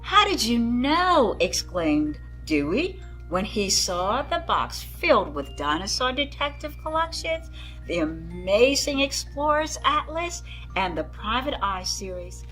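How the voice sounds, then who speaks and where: A woman reading a children's story aloud in expressive narration with deliberate phrasing. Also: mid-range voice, soft background music, poor audio.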